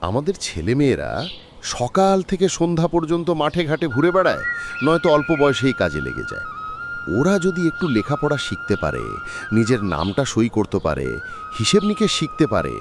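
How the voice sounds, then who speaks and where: A man's voice: mid-range voice, wildly swooping pitch, fairly quick, music in the background. Man playing a kindly village landlord, wildly varied intonation. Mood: thoughtful, reassuring. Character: kindly, generous male village landlord, dignified and caring. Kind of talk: acted cartoon folk-tale dialogue.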